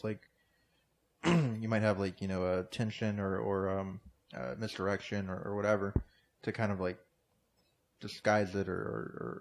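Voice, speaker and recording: A man's voice: fairly low pitch, a little mellow. An American man chatting casually on a comedy podcast, rambling through his points with lots of fillers and restarts. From United States. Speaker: podcast co-host or guest.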